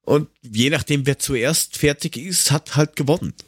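A man's voice: on the high side, moderate pace, a bit bright, husky, no room sound, studio quality. A man co-hosting a casual podcast, talking in a colloquial, rambling, slightly grumbling way. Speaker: podcast co-host.

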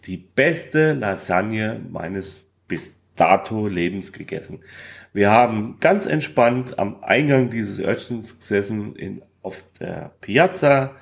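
A man co-hosting a podcast, talking casually and colloquially about food and travel, with halting pauses. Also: on the low side, lively intonation, creaky. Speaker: podcast co-host.

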